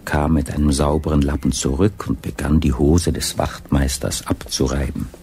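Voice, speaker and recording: An actor playing a sober narrator of a radio crime story, measured and matter-of-fact. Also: male voice, very deep voice, level tone, darkish tone, slight background noise.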